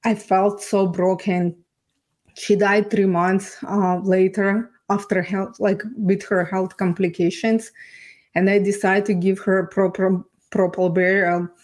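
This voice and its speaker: Even-toned woman with a Ukrainian accent. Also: mid-range voice. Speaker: podcast guest. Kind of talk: personal testimony storytelling.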